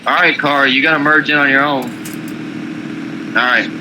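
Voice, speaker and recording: Man, southern american accent, blunt and swearing, slightly high voice, noisy voice chat. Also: fairly even intonation, normal speed, pushed and bright. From Southern United States. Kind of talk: casual chat while driving a truck.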